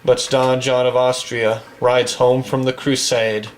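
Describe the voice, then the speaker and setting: A man reciting a long poem from memory in a slow, declamatory manner, swelling on the refrains, then chatting casually about a game. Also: medium pitch, little pitch movement, a little hiss or hum. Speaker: poetry reader and gamer.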